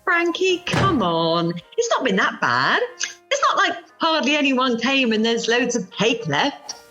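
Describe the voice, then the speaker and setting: A woman's voice: on the high side, very animated, measured pace, sharp tone, highs cut off, exaggerated voice. A woman acting a cheerful, gossipy, supportive middle-aged friend in an amateur radio play, exclaiming and chatting. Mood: coaxing. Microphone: video call from home. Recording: home video-call recording with music.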